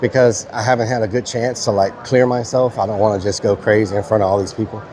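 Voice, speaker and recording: A man's voice: fairly low pitch, fairly even intonation, fast, darkish tone. A congested man chatting casually to his live-stream viewers as he walks around a busy comic convention, carrying a handheld streaming camera. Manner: rambling, chatty, talks to viewers. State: congested, stuffy-nosed.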